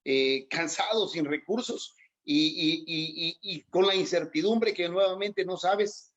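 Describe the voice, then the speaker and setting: A middle-aged man with a Salvadoran accent and a fairly high-pitched voice. He answers as a guest in a political interview, haltingly, often doubling back on his words as he explains. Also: fairly bright tone, highs cut off. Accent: Salvadoran Spanish. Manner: hesitant, halting delivery with repeated words. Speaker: interview guest, aspiring legislative candidate.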